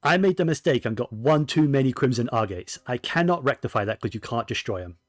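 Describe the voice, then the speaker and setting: A man's voice: mid-range voice, lively intonation, fairly quick, no echo, studio quality. A man giving casual, rambling gaming commentary, often correcting himself as he goes. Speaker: game streamer.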